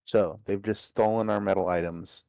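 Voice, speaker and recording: A man plays a tabletop game, talking casually and haltingly as he thinks aloud. His voice sits a little low. The recording is dry, with very little room sound. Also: fairly even intonation, gravelly, a little mellow, no room sound.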